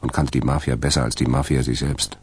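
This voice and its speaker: A man narrating a crime radio play in a measured, wry, literary way. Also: a bass voice, little pitch movement, darkish tone, husky. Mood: dry, wry. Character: dry male narrator of a crime story.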